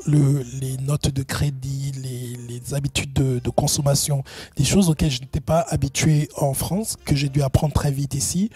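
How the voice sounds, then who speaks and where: Hesitant man with a dark, husky voice. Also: mid-range voice. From Benin, raised in Gabon. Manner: hesitant, many fillers and restarts. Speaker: guest, entrepreneurship consultant. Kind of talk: interview answer.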